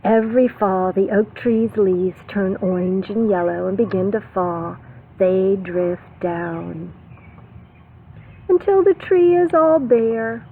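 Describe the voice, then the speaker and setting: A woman preschool teacher reading a picture book aloud to young children, expressive, with simple explanations and questions. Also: medium pitch, slow. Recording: phone-like narrow band, faint background noise.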